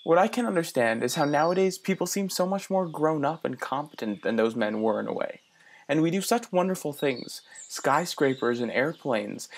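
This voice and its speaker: An actor playing an earnest young man from the city who muses aloud and stammers a little when he is unsure. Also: fairly high pitch. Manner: earnest, halting with small stammers. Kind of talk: radio play acting.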